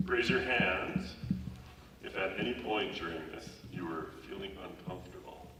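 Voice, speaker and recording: A man's voice: low-pitched, normal speed, very noisy. A man with a cold giving a conversational motivational talk to students, repeating points for emphasis. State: has a cold. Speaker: keynote speaker. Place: large school hall. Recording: echoey hall recorded from far off.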